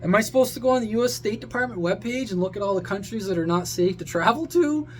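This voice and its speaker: A man's voice: high-pitched, lively intonation, quick pace, a little hiss or hum. A man giving a casual, opinionated rant to his viewers, blunt, sarcastic, crude and exasperated. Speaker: social media creator and CB radio hobbyist.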